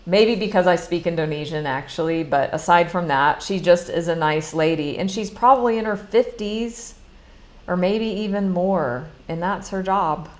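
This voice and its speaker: A middle-aged woman from the United States talks in a casual, confessional way about her own habits. Her voice sits low, and she often restarts a sentence mid-thought. She sounds like a coach chatting live. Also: normal speed. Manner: chatty, rambling, many restarts; earnest, confessional. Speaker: money mindset coach. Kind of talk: casual live talk, personal story.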